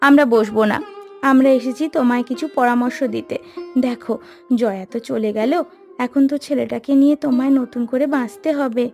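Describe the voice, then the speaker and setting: A nosy village aunt coaxes and nudges in a gravelly, slightly high voice, voiced by a woman for a cartoon. Loud music plays underneath. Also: fairly even intonation, fairly quick. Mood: persuasive. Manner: coaxing, busybody. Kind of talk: Bengali cartoon dubbing. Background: loud background music.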